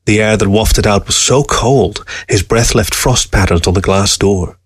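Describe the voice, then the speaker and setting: A man's voice: fairly low pitch, measured pace, husky. A man reading a short story aloud as scripted literary narration.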